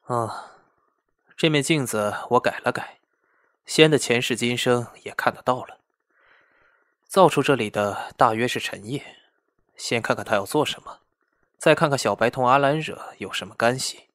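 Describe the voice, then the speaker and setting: A man's voice: medium pitch, normal speed, pauses often, a bit bright, no echo, natural voice. Fantasy drama lord male actor: aloof, commanding, middle register, dry studio sound. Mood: cool, unhurried. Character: aloof, imperious immortal lord in a fantasy romance. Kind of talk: audio drama acting.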